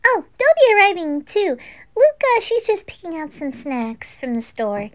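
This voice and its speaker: High-voiced, excitable anime girl played by a woman, very animated, gushing and teasing. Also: heavily acted. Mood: friendly, chirpy. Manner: gushing, giggly, drawn-out exclamations. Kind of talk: amateur anime audio roleplay.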